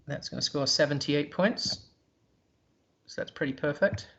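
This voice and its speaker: A man's voice: a little high, moderate pace, gravelly, fairly bright tone, lo-fi sound. A man commentating a live board game, thinking aloud in a hesitant, musing way.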